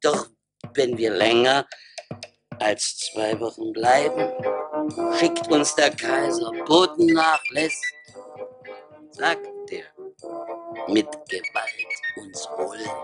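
An actor playing a doting, lamenting village beekeeper in a radio play, plaintive and declamatory. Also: male voice, mid-range voice, wildly swooping pitch, takes it slowly, creaky, long pauses, over loud music, exaggerated voice. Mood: troubled. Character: lamenting villager doting on his bees and beer. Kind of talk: theatrical radio play acting.

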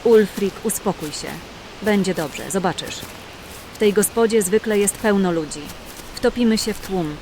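A woman's voice: medium pitch, normal speed, mellow, slight background noise, lightly acted, rough recording. A woman playing a confident, practical, tough-talking outlaw in a fantasy audio drama. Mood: reassuring. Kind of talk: fantasy radio drama acting.

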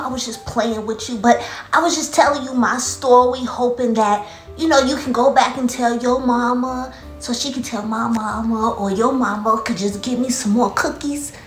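A mischievous little girl played by a grown woman spins tall tales for a comedy skit. The voice sits fairly high, with theatrical, stretched-out delivery. It rings in a roomy space with faint music behind it. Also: close to an everyday voice. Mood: sheepish. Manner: theatrical, drawn-out storytelling. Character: mischievous little girl Coco, cookie-loving tall-tale teller. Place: small bedroom, indoors.

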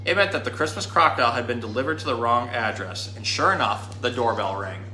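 A man in his thirties reading a children's story aloud in an animated, dramatic storytime manner. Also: medium pitch, fast. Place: indoor room. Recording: roomy indoor sound with faint noise.

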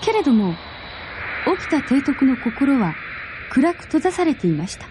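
A woman's voice: high voice, big pitch swings, a little slow. A woman narrating a 1970s space radio drama in an earnest, suspenseful, dramatic storytelling manner. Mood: awed, grave. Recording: very noisy old radio drama recording.

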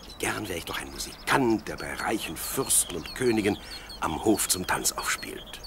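A man's voice: sits low, normal speed. An actor in a radio play voicing a dreamy, plucky young shepherd boy who muses aloud expressively. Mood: musing, cheerful. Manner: expressive, musing aloud to himself and animals. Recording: clear outdoor animal noise behind. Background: sheep, birds, outdoor ambience.

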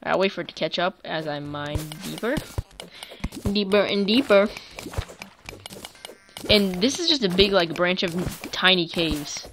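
A woman casually commentating her own gameplay for viewers, chatty and quick to get excited. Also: lowish voice, noisy background. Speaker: gaming YouTuber. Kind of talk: let's play commentary.

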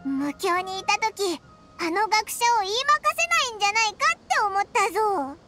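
A woman's voice: very high-pitched, animated, normal speed, over loud music. A woman voicing a tiny, blunt and loyal fairy companion in an anime game, with a childlike, boyish manner. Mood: admiring, encouraging. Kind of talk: Japanese anime game voice acting.

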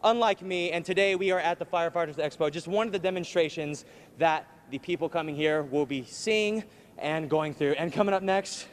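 A man's voice: on the high side, a little fast. Upbeat male field reporter, echoey garage. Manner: upbeat, exclaims often. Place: large fire station garage. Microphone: handheld mic. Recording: echoey garage with background noise.

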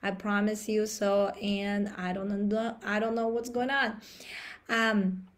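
A woman in her thirties, a life coach, talking casually to viewers on a live stream from a small home office through a laptop webcam; her voice is raspy from a cold, and she laughs and clears her throat often. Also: medium pitch, little pitch movement, takes it slowly.

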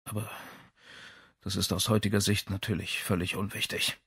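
A man's voice: fairly low pitch, level tone, moderate pace, a bit bright, breathy edge, no echo, studio quality, heavily acted. A man voicing a big, tired, irritable and defensive music professor in a crime audiobook. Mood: weary.

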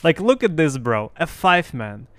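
A young man whose first language is Russian, giving excited, boastful live commentary on his game to his chat through a headset. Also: on the high side, a little slow. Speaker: streamer.

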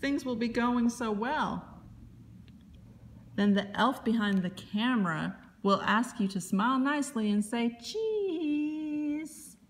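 A woman reading a children's picture book aloud as a librarian, in expressive, deliberate narration. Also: a little high, a little hiss or hum.

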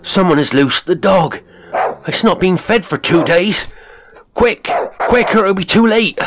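A man's voice: high voice, animated, unhurried, background noise, voice pushed up. A man voicing an elderly male servant in an audiobook, frantic, desperate and crying out in panic. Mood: panicked. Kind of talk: audiobook character acting.

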